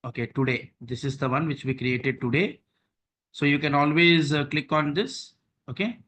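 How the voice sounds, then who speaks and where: A man's voice: on the high side, steady tone, moderate pace, fairly bright tone, slight background noise. A man leading an online training session, walking listeners through on-screen steps and often checking in with "okay" and "right".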